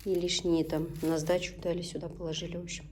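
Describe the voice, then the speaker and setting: A woman from Tatarstan, Tatar by first language, talks casually in her home kitchen in a slightly low voice. She chats along homely and breaks off now and then to coach her kids. A faint hiss sits under the recording. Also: flat delivery, quick pace, a little mellow. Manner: chatty and homely, often breaks off to instruct her children. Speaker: vlogger and mother. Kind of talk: casual home vlog chat while cooking with kids.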